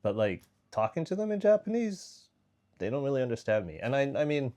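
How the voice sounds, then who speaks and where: A man's voice: medium pitch, sing-song, a little fast, mellow. A young man vlogging in a casual, rambling way, full of fillers and restarts. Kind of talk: casual vlog chat.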